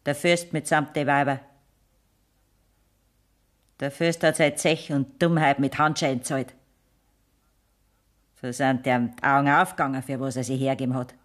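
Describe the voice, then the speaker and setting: A woman's voice: rumbling low, little pitch movement, moderate pace, long pauses. An actress playing the anguished middle-aged mother of an outlaw, telling her story in Bavarian dialect in a radio play. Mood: bitter, sorrowful.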